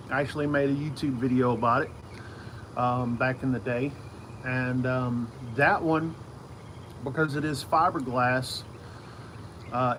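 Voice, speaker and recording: A middle-aged man giving a casual, unscripted hobby explainer, halting and often repeating himself as he circles back to his points. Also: mid-range voice, fairly bright tone, rough recording. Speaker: radio hobbyist video host. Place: outdoors in a yard. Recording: outdoor noise with insects and traffic.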